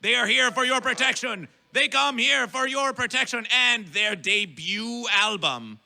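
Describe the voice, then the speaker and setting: A very high-pitched man emcees a live festival stage like a grand town crier, in old-fashioned dramatic phrases. His voice is piercing and gravelly, and he draws out and shouts his big words. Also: expressive, unhurried. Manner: stretches and shouts key words. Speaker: festival master of ceremonies. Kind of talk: theatrical stage announcing, chanted band introductions. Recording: live stage sound, faint music behind.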